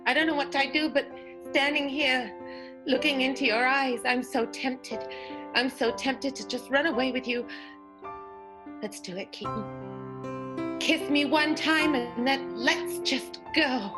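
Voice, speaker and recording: A woman's voice: sits high, measured pace, pauses often, over music. A woman playing a wistful, romantic vaudeville star in an improvised radio soap, speaking dreamily and dramatically. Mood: tempted, romantic.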